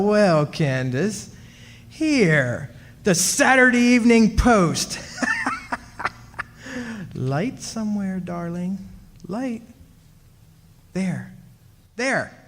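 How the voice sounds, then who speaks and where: A man's voice: sits high, heavily acted. A man acting in a radio play as a muttering, gloating husband who talks to himself in mock-sweet endearments. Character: muttering, gloating husband hunting his witch wife. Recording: echoey hall, music behind.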